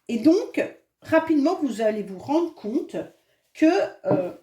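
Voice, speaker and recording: A woman's voice: high-pitched, sing-song, slow, warm tone, roomy sound. A French-accented woman with a head cold giving a chatty, reassuring monthly tarot reading, full of hesitations and fillers. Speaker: tarot card reader. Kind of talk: monthly astrology card reading.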